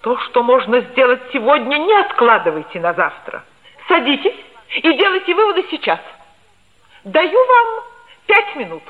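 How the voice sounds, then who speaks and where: An actress playing a stern, pompous schoolteacher in a theatrical, didactic radio play style. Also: female voice, high voice, big pitch swings, moderate pace. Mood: preachy. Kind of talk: 1960s radio play acting. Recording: tinny vintage 1960s radio recording.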